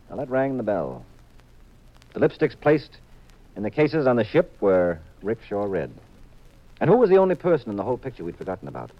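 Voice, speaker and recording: An actor playing a wry, self-assured private detective in a 1950s radio drama, coolly teasing and dry. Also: male voice, lowish voice. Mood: explaining, pleased. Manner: cool, dryly teasing. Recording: dull 1950s radio recording.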